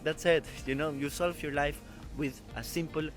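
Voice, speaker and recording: 20s guy from costa rica, earnest careful english, clear music bed behind. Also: a little high, steady tone, normal speed. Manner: earnest, careful non-native English with small grammar slips. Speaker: vlogger. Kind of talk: explanatory travel vlog. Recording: music playing underneath.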